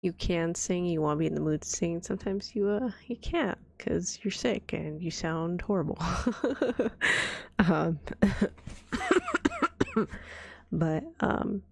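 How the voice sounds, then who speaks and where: Hoarse, gravelly woman with a cold. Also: huge pitch swings, takes it slowly, pauses often, a little mellow, no room sound. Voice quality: deep, scratchy voice. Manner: rambling, giggly, coughs often. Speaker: vtuber streamer. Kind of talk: soft-spoken casual stream chat.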